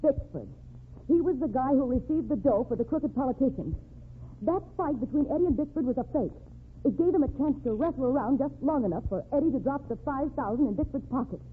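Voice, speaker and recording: A woman's voice: high-pitched, lively intonation, fairly quick. An actress playing a loyal, determined young American working girl in an old crime radio drama, earnest and plain-spoken. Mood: insistent. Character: loyal, determined working-class young woman, gangster's sweetheart. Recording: tinny noisy old radio recording.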